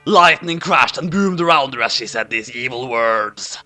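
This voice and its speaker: A man's voice: mid-range voice, expressive, moderate pace, pushed and bright, soft background music. A man reading a story aloud as theatrical comedy, with exaggerated, mock-dramatic emphasis. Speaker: reader of fan fiction. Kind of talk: theatrical comic narration.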